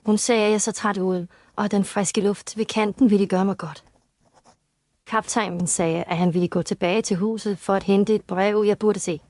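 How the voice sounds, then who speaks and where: Female, medium pitch, dry sound, actor as young injured heiress in an audio mystery, careful, uneasy, formal. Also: steady tone, darkish tone, dry recording, natural voice. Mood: uneasy, recollecting. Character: injured young heiress, uneasy but clear-headed witness. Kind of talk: scripted audio story dialogue.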